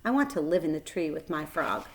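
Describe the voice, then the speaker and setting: A woman voicing an excitable, mischievous young farm boy, boisterous and boastful, in a storybook reading. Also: low voice, fairly quick, poor audio. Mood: excited.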